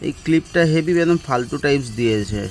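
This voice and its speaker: Male vlogger, mid-pitched, informal off-the-cuff review delivery, clearly noisy ambient recording. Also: expressive, fast talker. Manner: informal, thinking aloud in short remarks. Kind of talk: casual unboxing and product review chat.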